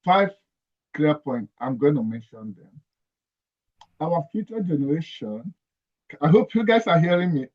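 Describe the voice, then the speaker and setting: A man's voice: fairly high pitch, expressive, unhurried, long pauses. A man with a Nigerian accent, a guest speaking earnestly and emphatically on a video call, often repeating his phrases. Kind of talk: conversational interview. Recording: dull lo-fi call audio.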